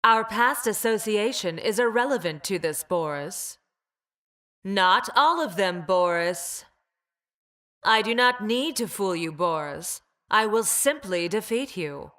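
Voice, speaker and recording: An actress playing a cold, logical engineered creature in a video game, speaking in a deadpan, precise and formal way. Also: female voice, mid-range voice, slow. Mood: detached, stern. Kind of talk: video game character voice lines.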